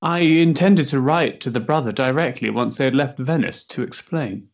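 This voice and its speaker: An actor playing a restless, sensitive young Victorian gentleman in a stage play, hesitant and politely mannered. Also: medium pitch, normal speed, dull audio, natural voice. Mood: uneasy. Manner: hesitant, polite, mannered upper-class diction. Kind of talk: period stage-play acting.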